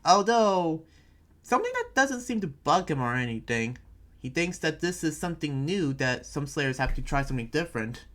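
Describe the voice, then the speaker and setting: A man's voice: a little high, very animated, moderate pace, fairly bright tone. Man playing a rambling, earnest young town preacher. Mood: explaining, conversational. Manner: rambling, explanatory, hesitant phrasing. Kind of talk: improvised anime Minecraft roleplay. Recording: background music behind the voice.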